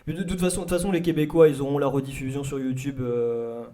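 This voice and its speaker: A man's voice: medium pitch, fairly even intonation, fast, mellow. A man hosting a casual interview, asking loose questions with many fillers. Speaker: host.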